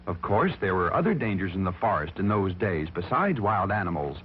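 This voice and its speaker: Male narrator, theatrical and folksy, very animated delivery for a kids' tale; tinny archival sound over music. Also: low voice, fairly quick. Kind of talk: dramatic scripted storytelling for children. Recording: thin, phone-like vintage recording with music behind.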